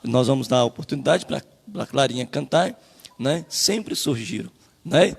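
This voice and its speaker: Brazilian guy preaching, creaky gravelly voice, emphatic, repeats himself, big echoey hall. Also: mid-range voice, expressive, moderate pace. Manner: emphatic, repetitive, rhetorical questions. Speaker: church preacher leading the class. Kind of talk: Bible teaching, preaching. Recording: reverberant hall sound.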